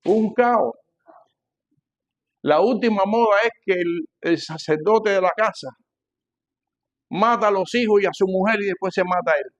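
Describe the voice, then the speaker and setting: An older man with a Cuban Spanish accent preaching to a congregation, emphatic and repeating phrases, swinging between loud and hushed delivery. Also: high-pitched, measured pace, pauses often, lo-fi sound. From Cuba. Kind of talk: sermon, teaching a church congregation.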